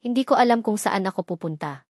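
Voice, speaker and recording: A woman's voice: medium pitch, animated, quick pace, darkish tone, dry recording, studio quality. A woman reading a dramatic first-person story as a scripted narration.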